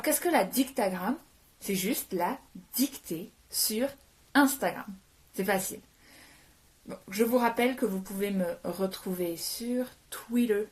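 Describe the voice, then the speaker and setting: A chatty woman from France hosts a casual livestream lesson, greeting viewers by name and answering their comments as they come in. Her voice sits at an ordinary middle pitch for a woman, and she now and then slips English words into her French. The sound comes through a phone mic. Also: darkish tone. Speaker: French teacher. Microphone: phone held for a live stream.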